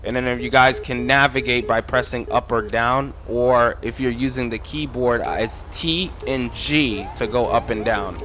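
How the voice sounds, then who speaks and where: A man's voice: medium pitch, fairly even intonation, normal speed, few pauses, fairly bright tone, slight background noise. A man casually walking viewers through game mods in an informal, instructive way, addressing them as guys. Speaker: gaming tutorial creator.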